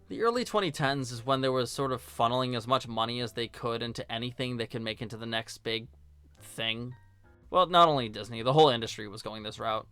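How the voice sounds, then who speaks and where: A man's voice: medium pitch, bright, forceful tone, clear, resonant tone, no room sound. A man narrating a scripted film review in a casual, joking, sarcastic and colloquial way. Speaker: video host and film reviewer.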